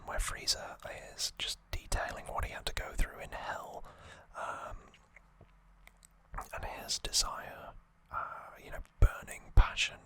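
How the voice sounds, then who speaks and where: An ASMR host whispering a conversational recap in a breathy, unvoiced, hushed voice. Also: poor audio.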